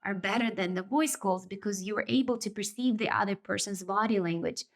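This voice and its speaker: Woman, native Russian speaker with a Russian accent, solo podcast host, measured and reflective, mid-range voice. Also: medium pitch. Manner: thoughtful, measured, self-correcting.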